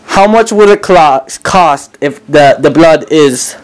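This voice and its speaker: A man making a prank phone call, talking haltingly and acting clueless and evasive. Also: on the high side, lively intonation, clear, resonant tone. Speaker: prank caller.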